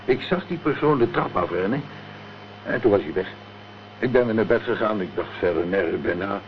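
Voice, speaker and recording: A man's voice: on the low side, animated, brisk, close to an everyday voice. A man playing a plain-spoken, uneasy building caretaker in a Dutch radio drama. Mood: plain, matter-of-fact. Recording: dull old radio recording, faint noise.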